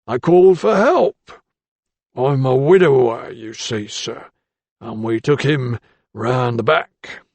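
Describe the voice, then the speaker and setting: A man's voice: mid-range voice, slow, darkish tone, dry recording. A man voicing a timid, grasping ironmonger with a thin, bleating voice and a wheedling, deferential manner. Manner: wheedling and deferential, keeps saying sir. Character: timid, grasping male shopkeeper, working class.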